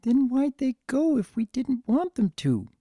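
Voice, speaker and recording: A man voicing a timid, sad little unicorn filly, quiet and hesitant, in a dramatic story reading. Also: extremely high pitch, sing-song, unhurried, dry recording, clean studio sound, voice pushed up, full character voice. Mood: tearful. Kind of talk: dramatic fan fiction reading.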